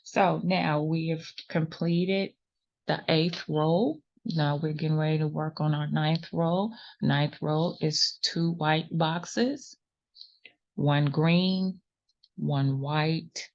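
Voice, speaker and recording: Slow, low-voiced woman teaching crochet. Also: muffled recording. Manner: patient, reassuring, counts stitches aloud. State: sniffly runny nose. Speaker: crochet tutorial host. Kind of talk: step-by-step crafting instruction.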